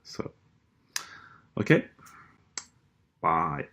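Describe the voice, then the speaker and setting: A man whose first language is Norwegian, talking alone to his viewers in a slow, hesitant way, with many fillers and restarts. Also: pushed and bright, a little hiss or hum. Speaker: vlogger. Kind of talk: casual monologue rant.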